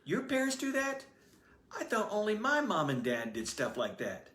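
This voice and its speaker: A man's voice: high voice, huge pitch swings, normal speed, a bit bright, far from the mic, voice pushed up, heavily acted. A man voicing a shy, bashful teenage boy who stammers and hesitates, read aloud in an echoey room.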